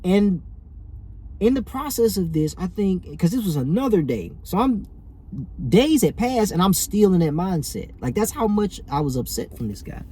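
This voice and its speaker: Casual storyteller: man, late thirties, raspy edge, fairly light pitch, animated and conversational. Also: normal speed. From rural Alabama, US. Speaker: vlogger telling personal stories.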